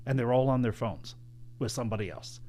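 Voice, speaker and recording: A man's voice: mid-range voice, a little fast, dark tone, a little hiss or hum. A man who plays piano at a comedy club, chatting casually and explaining things at length in an easygoing way. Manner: easygoing storyteller, long explanations. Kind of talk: casual chat.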